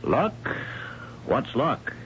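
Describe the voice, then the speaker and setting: An actor playing a brooding, obsessive middle-aged engineer husband in a theatrical, grandiloquent old-time radio style. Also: male voice, medium pitch, wildly swooping pitch, extremely slow, full character voice. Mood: grandly elated. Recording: muffled, hissy vintage radio recording.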